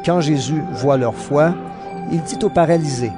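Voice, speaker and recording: Higher-voiced man narrating over music. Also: moderate pace. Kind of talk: scripted audio Bible narration.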